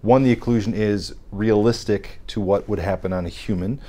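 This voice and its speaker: Low-voiced man explaining technical testing. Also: moderate pace, mellow. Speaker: hearing scientist guest. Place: dark studio set with armchairs.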